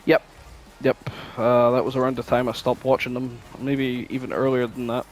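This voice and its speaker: A man's voice: medium pitch, flat delivery, moderate pace, faint music behind. A man co-hosting a podcast in casual, informal chat with plenty of swearing. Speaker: podcast co-host.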